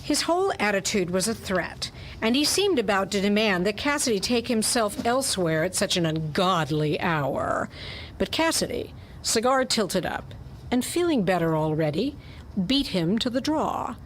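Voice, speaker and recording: A woman narrates a hardboiled detective story in a scripted, dramatic style. Her pitch is medium for a woman. A little noise runs behind her voice. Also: big pitch swings, normal speed.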